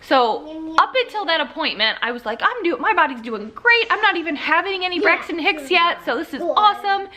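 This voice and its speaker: A woman's voice: very high-pitched, animated, brisk, pushed and bright. A young woman chatting casually in a vlog update, rambling with fillers, a bit congested and sniffly. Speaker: vlogger.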